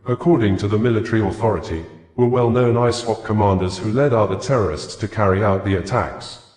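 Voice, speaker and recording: A man reading scripted news reports in a formal, measured manner. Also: lowish voice, a little mellow. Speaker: news reader. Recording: echoey, reverberant room sound.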